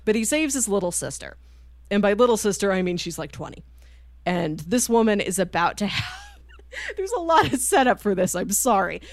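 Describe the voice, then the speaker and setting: Female podcast co-host, conversational and animated, medium pitch, very dry sound. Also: moderate pace, dry recording. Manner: animated storyteller, acting out quoted lines informally. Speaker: podcast co-host reviewing books. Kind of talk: casual conversational book discussion.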